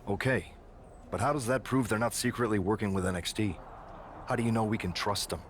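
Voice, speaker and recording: An actor playing an earnest, decisive wrestling show boss in a video game, measured and businesslike. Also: male voice, lowish voice, a little hiss or hum, lightly acted. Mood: concerned, businesslike. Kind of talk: scripted video game cut-scene dialogue.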